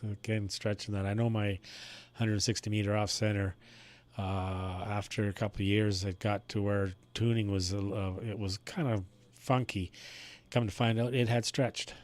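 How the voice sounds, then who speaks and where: Low-voiced man in casual radio chat. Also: little pitch movement, normal speed. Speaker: amateur radio operator. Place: amateur radio contact.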